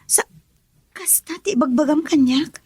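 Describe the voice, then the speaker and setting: A woman's voice: high voice, a little slow, a little mellow, no room sound. An actress playing a shy young woman being courted, bashful and hesitant, with an Ilocano Filipino accent in a radio drama. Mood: bashful, doubtful.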